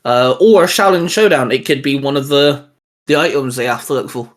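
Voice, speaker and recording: A man's voice: mid-range voice, normal speed. A young man co-hosting a casual geeky chat, rambling with lots of fillers and restarts. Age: young adult. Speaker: channel co-host.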